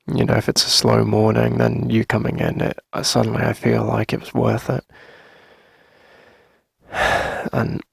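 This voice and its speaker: Shy, lovestruck male cafe owner played by a man for ASMR roleplay: hesitant, soft-spoken, heavy vocal fry, very breathy husk. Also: medium pitch, no room sound, clean studio sound, full character voice. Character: shy, smitten young male coffee shop owner confessing feelings.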